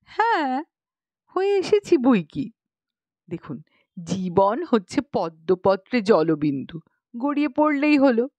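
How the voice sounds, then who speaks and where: A woman's voice: a little high, sing-song, takes it slowly, long pauses, a little mellow, no echo, lo-fi sound, full character voice. A woman voicing a pious, chatty young village matron in an audiobook, explaining things knowingly in colloquial rural Bengali speech with exclamations. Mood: pious, resigned. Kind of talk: audiobook character dialogue.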